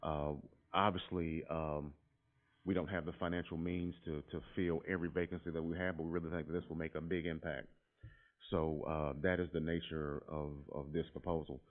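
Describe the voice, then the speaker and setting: A man's voice: rumbling low, level tone, normal speed. A man presenting a proposal at a school board meeting, explaining steadily with frequent filler words. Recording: tinny lo-fi meeting audio.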